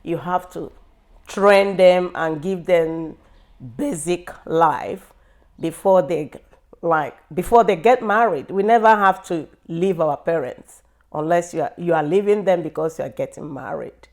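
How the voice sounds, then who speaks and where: A middle-aged woman from Nigeria with a Nigerian accent, speaking slowly and thoughtfully in an interview about her life, with frequent pauses and fillers. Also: lowish voice, darkish tone. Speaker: interview guest. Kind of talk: reflective personal interview.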